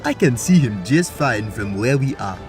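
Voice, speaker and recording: A man's voice: medium pitch, sing-song, moderate pace, soft background music, lightly acted. A man playing a vague, hesitant, towering adventurer in a fantasy audio drama. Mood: bemused. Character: vague, affable, very tall male adventurer. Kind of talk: audio drama character acting.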